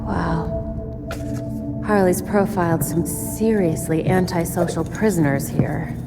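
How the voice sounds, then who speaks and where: A woman's voice: lowish voice, slow. An actress playing a determined, self-critical young female detective hero in a video game, dry and musing. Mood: frustrated, musing. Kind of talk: video game cutscene acting. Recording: long hall reverb, music behind.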